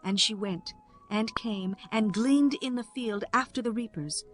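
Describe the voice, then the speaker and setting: A woman's voice: mid-range voice, measured pace, soft background music. A woman narrator reading a scripted scripture audiobook.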